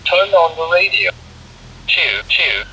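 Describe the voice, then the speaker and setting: A man's voice: fairly high pitch, sing-song, takes it slowly, bright, forceful tone, far from the mic, poor audio. A man's recorded device voice making clipped number announcements through a walkie-talkie speaker. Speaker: device voice prompt. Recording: harsh small device speaker, faint noise.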